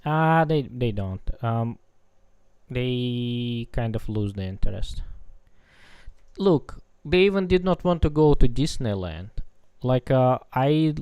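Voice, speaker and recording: A man in his thirties chats casually while coding on a live stream, halting now and then on fillers. His voice is mellow and unhurried. It is picked up dry on a desk mic at home. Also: mid-range voice, clear, resonant tone, no echo. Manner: relaxed, hesitant, many fillers. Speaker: game developer streamer.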